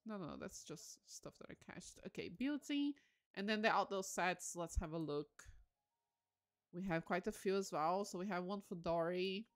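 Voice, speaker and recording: A woman live-streaming a game, talking casually to her viewers. Her pitch swings widely and expressively as she gushes and exclaims over what she sees. She sounds excitable and informal. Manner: excitable, gushing, exclaims often.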